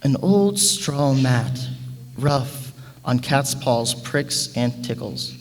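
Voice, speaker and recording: A man voicing a sleepy, dreamy female cat in a storybook, speaking in languid, halting, poetic phrases. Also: mid-range voice, expressive. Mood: drowsy. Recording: reverberant hall.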